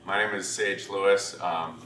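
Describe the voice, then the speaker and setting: A man with a loud voice introducing himself on a panel, halting with many fillers. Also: deep voice, fairly even intonation. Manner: slow, halting, filler-heavy. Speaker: panelist, composer. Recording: echoey hall, distant mic, faint hiss.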